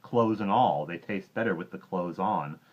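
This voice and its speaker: A man voicing a greedy, boastful crocodile who gloats slowly over his sly plans. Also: low-pitched, slightly rough, muffled recording. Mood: gloating.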